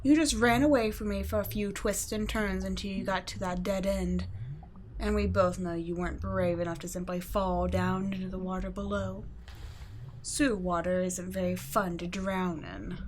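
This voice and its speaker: A woman's voice: medium pitch, steady tone, normal speed, a little hiss or hum, natural voice. A woman voicing a cool, condescending female vampire scientist in an ASMR audio roleplay, speaking in a teasing, patronizing way and slipping into whispers. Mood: mocking. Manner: teasing, patronizing, soft-spoken with whispered asides.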